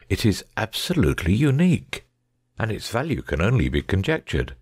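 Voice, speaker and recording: A man's voice: low-pitched, husky, no echo, clean studio sound, full character voice. A man playing a brilliant, self-assured detective in a dramatic audiobook, speaking in a precise, didactic way. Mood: precise, intent.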